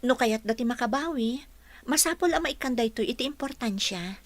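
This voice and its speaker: A woman's voice: a little high, lively intonation, moderate pace, no echo. An actress with a Filipino Ilocano accent playing a polite, eager young woman seeking office work in a radio drama. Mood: earnest. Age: young adult. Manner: polite, deferential. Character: polite, eager young female job applicant and dutiful daughter.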